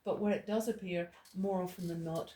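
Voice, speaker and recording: A woman's voice: lowish voice, steady tone, fairly quick, dark tone. A middle-aged woman from Ireland teaching a small study group, digressing into stories with frequent restarts. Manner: digressive, storytelling, many restarts. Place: indoor room with a small group. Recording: roomy echo, faint noise.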